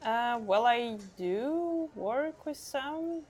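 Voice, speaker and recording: A woman from Ukraine, an engineer guest, chatting casually on a podcast and pausing often with ums. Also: on the high side, expressive, darkish tone. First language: Ukrainian or Russian. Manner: thoughtful, many ums and pauses.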